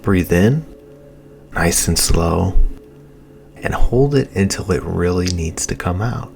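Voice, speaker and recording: A man coaching listeners through a guided meditation, speaking in a calm, soothing way with long pauses. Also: low-pitched, gravelly, faint music behind.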